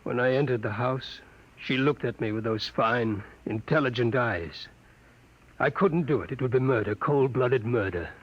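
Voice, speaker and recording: An actor playing an anguished spaceship crewman in a 1950s radio drama, halting and intense. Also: mid-range voice, breathy edge, lightly acted. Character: anguished, conscience-stricken male spaceship crewman. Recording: muffled vintage 1950s radio recording.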